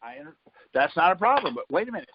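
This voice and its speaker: A man's voice: fairly high pitch, big pitch swings, fast, slight background noise. A man hosting a conference call, talking in a rambling, self-correcting way, often saying "see?" and "um". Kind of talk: casual explanatory talk. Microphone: phone line. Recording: muffled phone-call audio.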